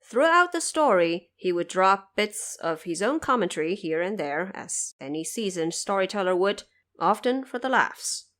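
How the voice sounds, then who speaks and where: A woman delivering scripted podcast commentary as host, in an expressive, pointed and rhetorical manner. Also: medium pitch, moderate pace. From Cantonese-speaking region.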